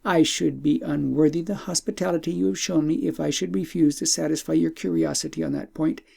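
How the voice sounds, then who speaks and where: Storybook devout old woman played by a man, knowing and courteous, soft-toned with a creaky edge, deliberate formal phrasing. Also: high voice, exaggerated voice. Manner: formal, deliberate, ornate speech.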